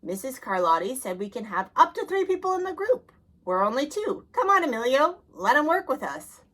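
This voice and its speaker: Woman doing a kind young schoolboy, open, encouraging, storybook voice, slightly raised pitch. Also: big pitch swings, normal speed, fairly bright tone, exaggerated voice. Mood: friendly. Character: friendly young boy, new student.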